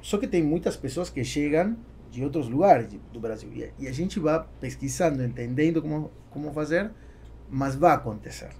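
A man's voice: a little high, animated, moderate pace, dark tone, slight background noise. A middle-aged man, a native Spanish speaker with a Spanish accent in Portuguese, answering interview questions as a podcast guest and often searching for words. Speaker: podcast guest, business executive. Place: small foam-treated podcast studio. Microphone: close boom-arm studio microphone.

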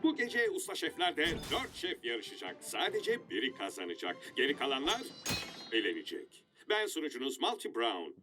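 A man's voice: medium pitch, wildly swooping pitch, normal speed. An actor playing a theatrical, suspenseful male host of a cartoon TV cooking contest. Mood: dramatic, suspenseful. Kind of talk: dramatic show announcing.

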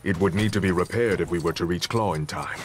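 Man playing a deep, solemn warrior king. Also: slight background noise, lightly acted. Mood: solemn, proud. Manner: grave, formal, measured delivery. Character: noble, solemn male superhero warrior king narrating his quest. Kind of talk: scripted dramatic game narration. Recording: music behind the voice.

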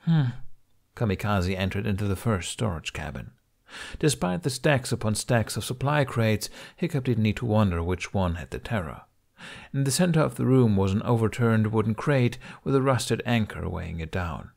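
A man's voice: on the low side, expressive, normal speed, gravelly, darkish tone. A man reading a scripted story aloud as an audiobook narrator.

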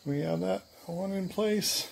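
A man filming himself in his home garage, explaining a canoe build in a casual, relaxed way with plenty of ums and uhs. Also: high voice, a little slow, gravelly, slight background noise. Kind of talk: casual, unscripted workshop vlog. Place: home garage and driveway, outdoors at times.

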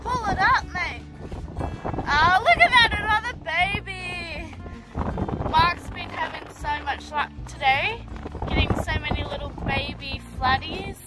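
A woman's voice: extremely high pitch, unhurried, rough recording. A young Australian woman vlogging on a windy jetty, chatting and giggling to a phone held at arm's length. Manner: chatty and giggly, talks to the camera. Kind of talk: casual vlog narration. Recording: loud background music.

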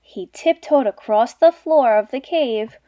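Young lady teacher doing storytime for little kids, high expressive voice. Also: a little slow, highs cut off. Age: young adult. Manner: expressive, addressing small children with questions. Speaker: children's literacy teacher. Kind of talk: story read-aloud and lesson for young children.

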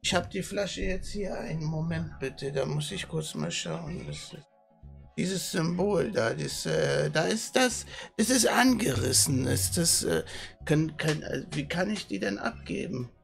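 A man puts on a polite, hesitant customer with a high, creaky voice. The words stumble and repeat, with lively swings in pitch, for a comic prank call. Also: a little slow, soft background music, full character voice. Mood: befuddled, stammering. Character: hesitant, befuddled, polite male customer on the phone. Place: small dim streaming room. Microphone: studio microphone nearby, phone held to mouth.

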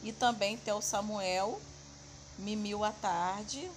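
A chatty woman in her thirties from Brazil talks casually to her viewers from her kitchen. Her voice sits in a middle pitch and is picked up by a phone camera on the counter. There is clear background noise throughout. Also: slow pace. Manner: chatty, keeps calling viewers "família". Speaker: family vlogger. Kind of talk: casual home vlog narration. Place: home kitchen and dining room. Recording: noisy home phone recording.

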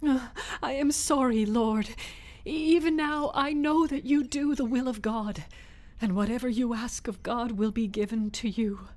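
A man's voice: very high-pitched, unhurried, voice pushed up. A man voicing a grieving, accusing woman in a storybook. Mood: pleading. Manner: shouting then pleading, tearful.

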